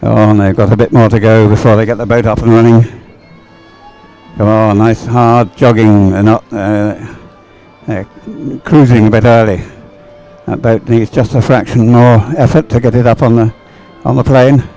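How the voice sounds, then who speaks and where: Male sports commentator, unscripted and halting, calling a race as he watches, some music behind. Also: on the low side, little pitch movement, warm tone, poor audio. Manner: halting, thinking aloud as he watches.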